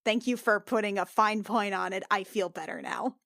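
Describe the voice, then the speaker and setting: A woman playing an earnest, shaken female healer in a tabletop game, talking in a halting, insistent, anxious way. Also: mid-range voice, steady tone, creaky, a bit bright, no echo, clean studio sound.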